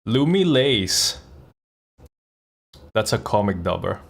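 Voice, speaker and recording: Low, gravelly male streamer chatting casually. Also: very animated, slow pace. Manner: wry, slangy, rambling. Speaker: streamer and voice actor. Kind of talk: casual live stream chat. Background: faint music.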